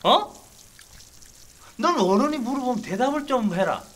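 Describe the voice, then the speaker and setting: A man's voice: very high voice, sing-song, measured pace, a bit bright, exaggerated voice. An actor in his fifties playing a jumpy, scheming company director who blusters and needles in a sitcom. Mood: threatening. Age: 50s. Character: jumpy, scheming middle-aged company director, threatening and flustered. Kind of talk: sitcom acting. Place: tiled restroom.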